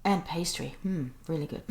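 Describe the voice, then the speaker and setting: A woman hosting a podcast, asking her guest questions in a friendly way with pauses. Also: on the low side, darkish tone, slight background noise. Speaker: podcast host. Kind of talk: interview questions.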